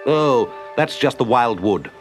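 A man voicing a jolly, brisk, hearty water rat in a children's story, exclamatory and confident. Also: mid-range voice, animated, music in the background, natural voice. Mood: matter-of-fact.

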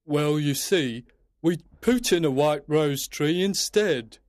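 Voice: mid-range voice, lively intonation, slow pace, a little mellow, no echo, voice pushed up. A storybook narrator voicing a courteous, mild-mannered male creature. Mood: hesitant. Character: courteous, mild male card or Cheshire Cat. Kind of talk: storybook character voice for learners.

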